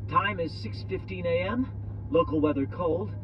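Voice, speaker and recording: A formal airline announcer played by a man makes a cabin announcement. The voice is a little high and slightly muffled, with music clearly behind it. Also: moderate pace, lightly acted. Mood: calm, formal.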